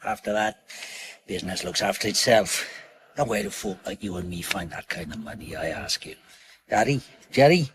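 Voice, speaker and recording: An actor playing a bossy, edgy British crime crew leader in a radio drama, giving clipped, commanding instructions. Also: male voice, low-pitched, moderate pace, a crowd in the background, lightly acted. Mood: brisk, instructing.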